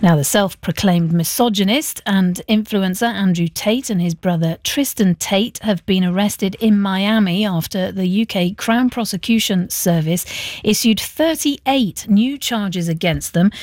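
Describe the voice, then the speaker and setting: A woman's voice: mid-range voice, darkish tone, no room sound. A woman with a British accent presenting live radio news from a studio.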